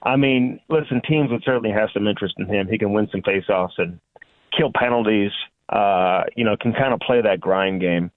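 A man's voice: lowish voice, normal speed, no room sound, narrow, tinny audio. A man giving conversational, off-the-cuff sports analysis as a reporter guesting on a radio show. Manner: conversational, frequent "you know" and "listen".

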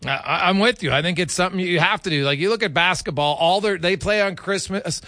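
Male sports host, casual and conversational, crisp bright tone in a very dry recording. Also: high-pitched, quick pace, dry recording. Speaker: sports talk show host. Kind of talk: casual sports interview chat.

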